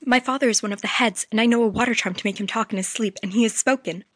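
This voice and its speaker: A man voicing a frightened, secretive young girl from ancient times, speaking in urgent, hushed pleas. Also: sits high, steady tone, fast, no room sound, studio quality, voice pushed up.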